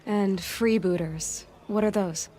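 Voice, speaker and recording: A woman's voice: medium pitch, takes it slowly, dark tone, close to an everyday voice. A woman playing a curious young huntress in a video game, speaking in a direct, terse, questioning manner. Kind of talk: video game dialogue acting.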